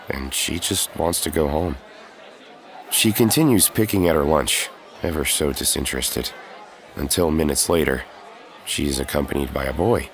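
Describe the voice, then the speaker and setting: Very deep-voiced male drama narrator. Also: a little hiss or hum. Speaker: narrator and lead character. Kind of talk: scripted audio drama narration. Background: music.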